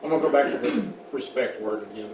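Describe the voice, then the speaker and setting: A man's voice: medium pitch, fast talker, a little mellow. Casual man in an echoey meeting room. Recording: echoey room, distant mic, background noise.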